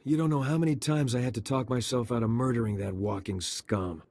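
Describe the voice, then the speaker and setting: An actor playing a wry, weary undercover intelligence agent in a video game, with a rough, husky voice. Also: male voice, medium pitch, brisk, darkish tone, dry recording, natural voice. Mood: wry relief. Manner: wry, colloquial.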